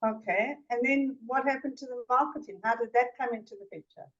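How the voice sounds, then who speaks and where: A woman hosts an interview with a fairly high voice, asking plain, measured questions. Her sound is dull and lo-fi, with the top end missing. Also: fairly bright tone. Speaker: interview host, coach. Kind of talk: interview hosting.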